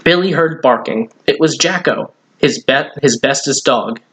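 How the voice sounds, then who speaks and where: Man reading a children's story, mid-pitched. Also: measured pace, fairly bright tone. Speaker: story reader. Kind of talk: children's storybook read aloud.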